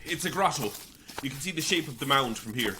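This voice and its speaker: A man's voice: medium pitch, animated, fairly quick, background noise, natural voice. A man acting an intense, prickly Irish priest and bereaved father in an audio drama, preaching and combative. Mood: wry, preachy.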